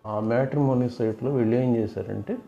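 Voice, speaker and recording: A man's voice: mid-range voice, brisk, creaky, warm tone. A man explaining things casually to viewers as a video host, often repeating and rephrasing his points. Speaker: video host giving fraud awareness advice. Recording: roomy, dull lo-fi sound.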